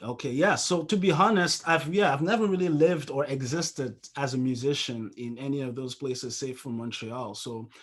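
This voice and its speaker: A man's voice: medium pitch, normal speed, few pauses, breathy edge. A man in his thirties from Cameroon in a casual question-and-answer conversation on a video call, speaking thoughtfully and often restarting as he searches for words. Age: 30s. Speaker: musician and interview guest.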